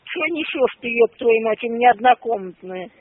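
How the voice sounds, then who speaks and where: A woman's voice: on the high side, normal speed, no echo. An older woman on the phone, scolding and swearing indignantly at a prank caller. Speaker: prank call target. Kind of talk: phone argument. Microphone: telephone. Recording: tinny phone line.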